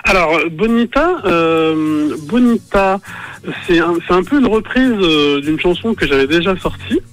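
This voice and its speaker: A man's voice: sits high, lively intonation, unhurried, soft background music. Hesitant young-sounding man on radio. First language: French. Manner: hesitant, many fillers and restarts. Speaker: guest artist, singer and actor. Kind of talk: radio interview answers.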